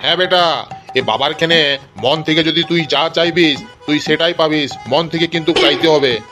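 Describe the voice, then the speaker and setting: A pious, commanding cartoon holy man, played by a man, pitched a little high. He instructs and chants solemnly, like a fatherly guide. Music is faintly audible behind him. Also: normal speed, close to an everyday voice. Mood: fatherly, instructing. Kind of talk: amateur cartoon dialogue.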